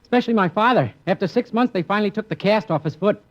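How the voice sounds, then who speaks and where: A man's voice: high-pitched, animated, fast talker. A young man, a comic regular on a radio sitcom, cheerfully rattling off absurd stories in a naive way. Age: young adult. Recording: dull old radio recording.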